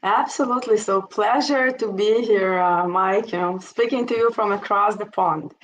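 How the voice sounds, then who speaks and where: A middle-aged woman with an Israeli accent, talking on a video call. She speaks in a relaxed conversational way at a middle pitch and fills her sentences with "you know". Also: moderate pace, a little hiss or hum, poor audio. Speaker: interview guest, investor. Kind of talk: casual webinar conversation.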